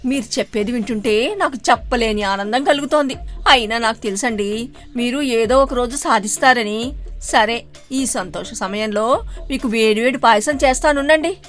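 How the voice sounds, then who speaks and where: A woman acts out an excitable, greedy village wife in a moral story. Her voice is clearly high, full of exclamation and flattery. Faint music plays behind her. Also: moderate pace, lightly acted. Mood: delighted, flattering.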